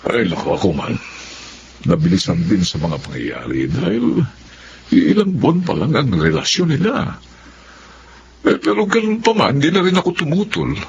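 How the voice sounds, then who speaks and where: Kindly, very expressive father. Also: mid-range voice, moderate pace, long pauses, dull audio. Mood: chatty. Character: kindly, trusting older father of a grown daughter. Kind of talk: Tagalog radio drama acting. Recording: clearly noisy background.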